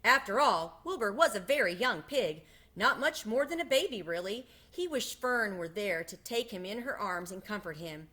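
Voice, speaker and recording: A woman reads a children's story aloud with a bright, forceful tone. Her mid-pitched voice carries an expressive storytelling style. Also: moderate pace, hardly any pauses. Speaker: storybook reader.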